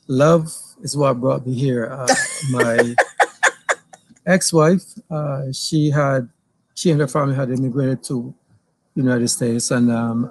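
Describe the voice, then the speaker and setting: A middle-aged man from Barbados with a Barbadian accent, interviewed as a guest on an outdoor deck, speaking slowly with many hesitations and fillers. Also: medium pitch, vocal fry, low-quality recording. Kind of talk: relaxed interview storytelling. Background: passing plane and outdoor ambience.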